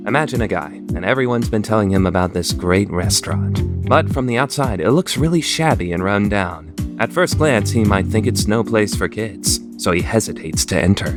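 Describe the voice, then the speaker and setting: Exasperated anime schoolboy played by a man, low voice, dry explanatory delivery, music playing behind. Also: natural voice. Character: deadpan, exasperated high school boy. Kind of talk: anime fan dub radio play acting.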